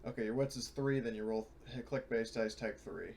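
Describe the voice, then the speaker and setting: A man's voice: mid-range voice, fairly quick, creaky, darkish tone, a little hiss or hum. A man chatting casually at a tabletop roleplaying game, explaining rules to the others.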